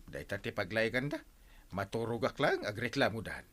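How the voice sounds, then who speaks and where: A man's voice: on the low side, animated, brisk, fairly bright tone, no room sound. A man with an Ilocano accent playing a lazy, sleepy, comically unbothered village captain in a radio comedy drama, grumbling and exclaiming. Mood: lazy, defensive.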